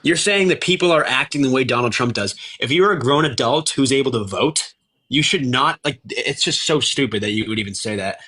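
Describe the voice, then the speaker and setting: A man's voice: mid-range voice, expressive, a little fast, sharp tone, slightly rough. An eighteen-year-old man arguing casually in a live debate, informal and defensive.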